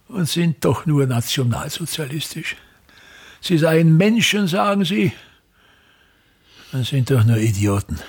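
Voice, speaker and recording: An actor playing a pompous, embittered touring man of the theatre, declaiming scornfully and repetitively with a creaky voice in a radio drama. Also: on the high side, full character voice.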